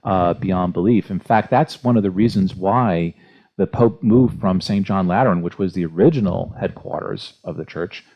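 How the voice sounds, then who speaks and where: A man hosting a religious question-and-answer talk as a priest, speaking off the cuff and haltingly, often searching for words. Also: low voice. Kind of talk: unscripted church history discussion and reading listener questions. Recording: dull, muffled lo-fi audio.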